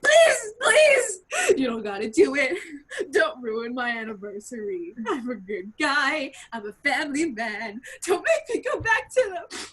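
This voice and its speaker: A woman's voice: extremely high pitch, big pitch swings, measured pace, few pauses, sharp tone, heavily acted. A woman playing a cowardly family man who pleads melodramatically in a comedy script read. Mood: tearful begging. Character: cowardly whimpering family man begging for mercy.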